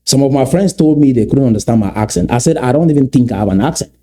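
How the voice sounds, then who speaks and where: Middle-aged man, Cameroonian English accent, warm low timbre, lecturing commentator who poses rhetorical questions. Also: expressive, fast, a little hiss or hum. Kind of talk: commentary on played clips.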